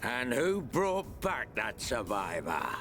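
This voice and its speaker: Slow, sneering, gloating villain. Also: male voice, medium pitch, sing-song, music in the background. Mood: mocking, gloating. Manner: sneering, taunting. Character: mocking, callous villainous traitor man, gloating. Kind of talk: video game cutscene acting.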